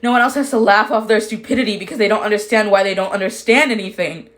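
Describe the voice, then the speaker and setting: A woman's voice: medium pitch, fast talker, fairly bright tone, voice pushed up, natural voice. A woman voicing an anguished, self-loathing teenage boy in a dramatic fan fiction reading, pleading with strained emotion. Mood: quiet despair.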